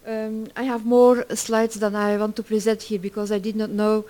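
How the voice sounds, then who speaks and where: Halting presenter, mature voice. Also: mid-range voice, completely flat delivery, warm tone. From Belgium. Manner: halting, self-correcting non-native English phrasing. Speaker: project work package leader, conference presenter. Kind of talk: informal conference presentation.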